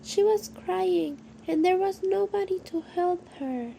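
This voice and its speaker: A very high, slow-spoken farm lady, acted by a woman, full of grief and guilt. She talks in halting apologies, as in an amateur radio play. The sound is very dull, with clear noise behind her. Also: mellow, full character voice. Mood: grateful, mournful. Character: guilt-ridden, grieving woman farmer and nanny. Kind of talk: amateur radio drama acting. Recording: muffled, with clear background noise.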